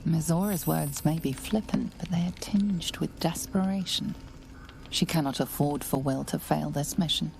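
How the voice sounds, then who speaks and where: Grave female fantasy game narrator, very low, gravelly, measured, hushed. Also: slight background noise, rough recording. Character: solemn, watchful female storyteller guiding an adventurer.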